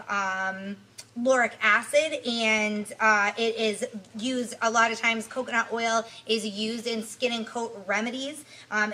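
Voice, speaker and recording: Casting: female reviewer, thirties, slightly high voice, informal to-camera delivery, faint noise behind. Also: bright, forceful tone, rough recording. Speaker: pet product reviewer and host.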